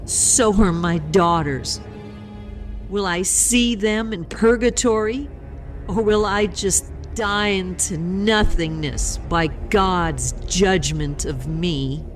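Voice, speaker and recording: A woman's voice: lowish voice, soft background music. An actress playing a grieving, plague-stricken woman who is bleak and resigned, in an audio drama. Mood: despairing. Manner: slow, despairing.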